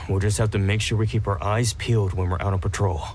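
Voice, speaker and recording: An actor playing a skeptical, matter-of-fact army patrol soldier in a video game. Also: male voice, fairly low pitch, fairly even intonation, a little fast, darkish tone, slight background noise, close to an everyday voice.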